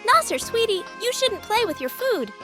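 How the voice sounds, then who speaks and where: Prim honor-student girl for a game dub, played by a woman: sky-high, animated pitch, fussy, mothering, formally admonishing. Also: normal speed, over music. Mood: sweetly scolding. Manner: prissy, admonishing, formal wording. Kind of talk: visual novel fan dub acting.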